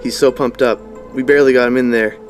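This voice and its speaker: An actor playing a dim, clueless teenage jock with a dopey, hesitant way of talking in an audio drama. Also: male voice, medium pitch, steady tone, fast talker, faint music behind, close to an everyday voice. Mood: puzzled.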